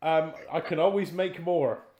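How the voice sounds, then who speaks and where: Middle-aged guy doing a chatty interview, slightly high voice, really echoey room. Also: a little slow, darkish tone, dull audio. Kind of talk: conversational interview.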